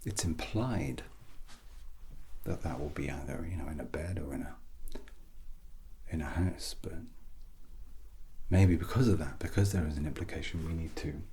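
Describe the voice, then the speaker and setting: A British man softly giving an ASMR English lesson as a teacher, breathy and often near a whisper, with an awkward, self-deprecating, gently encouraging manner. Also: a bass voice, moderate pace, long pauses. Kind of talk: softly spoken ASMR grammar lesson roleplay.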